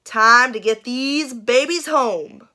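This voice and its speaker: A woman's voice: fairly high pitch, slow pace, pushed and bright. A woman voicing a young boy who plays at being sheriff, eager and a bit guilty, with an emphatic, self-important way of talking. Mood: bossy, eager.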